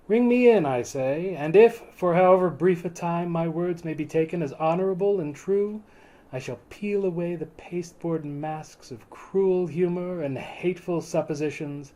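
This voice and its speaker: A man's voice: mid-range voice, dark tone, a little hiss or hum, natural voice. A man playing a proud, bitter condemned sailor of the 1860s, telling his tale in theatrical, formal old-fashioned language in a dramatic novel reading. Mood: brooding, grave. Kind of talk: dramatic reading of a novel aloud, first-person monologue.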